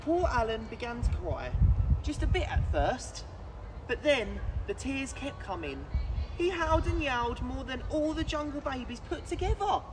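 Children's storyteller: man, twenties, expressive and projected, lively swings in pitch, outdoor recording with background noise. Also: moderate pace. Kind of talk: animated picture-book read-aloud for children. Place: outdoors in woods, standing.

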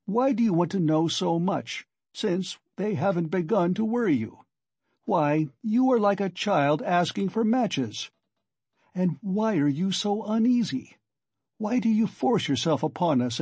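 A man's voice: a little high, very animated, a little slow, darkish tone, breathy edge, dry recording, voice pushed up, full character voice. A man voicing a sly, mocking examining lawyer in an audiobook, with playful, ironical good humor. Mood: sly, amused. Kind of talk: dramatic audiobook character reading.

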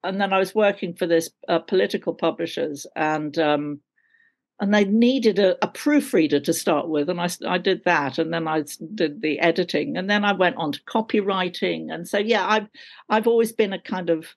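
A woman's voice: on the low side, moderate pace, gravelly. An older woman chatting casually as an interview guest on a video call, with a wry, self-deprecating manner. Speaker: guest, actor.

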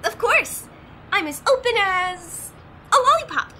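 A woman's voice: very high-pitched, sing-song, unhurried, bright, forceful tone, a little hiss or hum, full character voice. A woman playing a hyperactive, bubbly young graphic artist in an anime-style fan audio drama, gushing and giggly. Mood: cheery. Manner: gushing, giggly, squealing exclamations.

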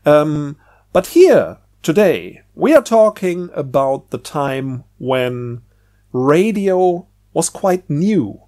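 A man's voice: a little high, darkish tone. A man hosting a casual, reflective monologue, speaking in a hesitant, searching way and repeating words. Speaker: video host.

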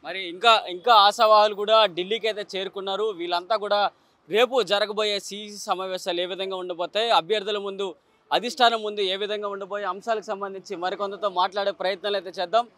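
A man's voice: high voice, fairly even intonation, a little fast, bright, forceful tone, no echo. A young man in his twenties working as a news reporter, putting field interview questions outdoors on a handheld mic. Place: outdoors on a city roadside. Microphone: handheld microphone.

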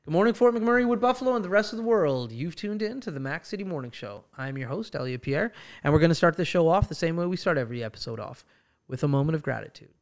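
A man with a fairly high-pitched voice hosting a casual talk show in a small studio. He sounds upbeat and animated, and he puts his questions to the guest directly. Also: fast. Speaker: podcast host. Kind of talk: casual morning show chat. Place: small studio room with armchairs. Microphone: boom-mounted studio mic.

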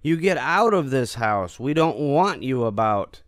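A man's voice: medium pitch, sing-song, measured pace, no room sound, clean studio sound, voice pushed up. Older man as an indignant, scolding storybook boy. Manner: scolding, emphatic. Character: indignant, bossy, worried young boy in a storybook.

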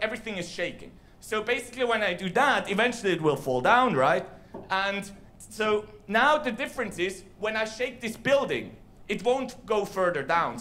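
Man, 30s, light high voice, casual science talk on stage, handheld mic. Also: pushed and bright. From Switzerland. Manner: casual, explanatory, with fillers. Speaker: science slam presenter. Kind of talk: live science talk to an audience. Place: large dark theater stage. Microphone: handheld microphone.

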